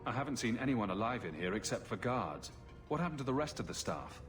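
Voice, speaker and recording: A man's voice: medium pitch, sing-song, fairly quick, over music, natural voice. A man playing a resolute, businesslike hero in a video game, speaking in a direct, serious manner. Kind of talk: video game dramatic acting.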